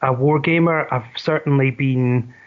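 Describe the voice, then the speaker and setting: A man's voice: medium pitch, steady tone, a little slow, narrow, tinny audio. A middle-aged man with a Scottish accent, a podcast guest chatting casually with frequent ums and restarts. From Scotland. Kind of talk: casual chat.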